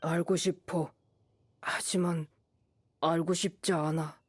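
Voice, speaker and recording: Slow, halting wild boy. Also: low voice, flat delivery. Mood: unsure, withdrawn. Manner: halting, terse, simple phrases. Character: shy, earnest teenage wild boy raised by wolves. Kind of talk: anime game voice acting.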